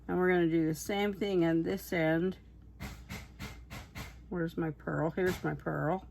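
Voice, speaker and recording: A hoarse woman who is losing her voice, chatting casually and unhurriedly as she makes bead crafts on a vlog. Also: lowish voice, steady tone, takes it slowly, darkish tone, a little hiss or hum. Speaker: craft vlogger.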